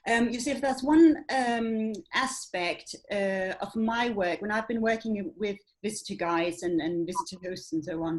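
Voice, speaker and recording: Danish-native woman interviewer. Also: medium pitch. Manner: affirming, thoughtful, quotes others in quick asides. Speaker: host.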